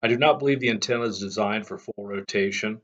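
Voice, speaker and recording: Low-pitched man narrating, dull lo-fi recording. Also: fast talker. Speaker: host of a radio hobbyist channel. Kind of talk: explanatory scripted narration.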